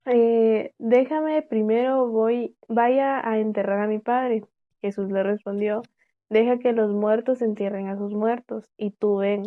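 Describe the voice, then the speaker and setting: Young Guatemalan woman host, tinny phone-like sound. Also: on the high side. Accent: Guatemalan Spanish. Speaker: podcast host.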